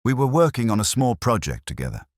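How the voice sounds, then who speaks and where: A man's voice: medium pitch, wildly swooping pitch, fast talker, dry recording, studio quality, voice pushed up. A man voicing a bland, evasive retired solicitor in a detective story, formal, fussy and resigned. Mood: evasive explaining.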